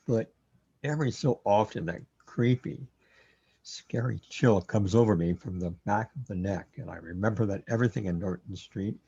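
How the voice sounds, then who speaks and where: A man playing a confused, paranoid, brooding man who lives inside a computer, with a husky voice, in an audio drama. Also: lowish voice, mellow, no echo. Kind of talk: audio drama monologue and dialogue. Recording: dull, lo-fi, dry close recording.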